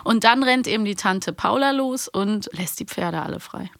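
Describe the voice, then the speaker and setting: A woman's voice: medium pitch, moderate pace, creaky, a bit bright, dry recording. Enthusiastic woman podcaster, dry close sound. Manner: enthusiastic, acts out quoted lines. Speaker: podcast co-host. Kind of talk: casual chat retelling audio drama episodes.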